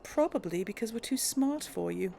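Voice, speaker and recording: A woman with a creaky voice giving casual, easygoing game commentary, musing to herself as she plays. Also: medium pitch, lively intonation, moderate pace, dark tone, a little hiss or hum. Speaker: let's play host. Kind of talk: casual game commentary with light role play. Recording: faint background game ambience.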